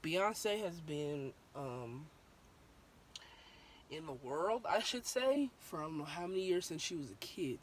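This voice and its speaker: A young woman with a sore, slightly hoarse throat, talking through her opinions in a candid, rambling vlog full of ums and restarts. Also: low voice, expressive, measured pace, a little hiss or hum. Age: young adult. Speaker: vlogger.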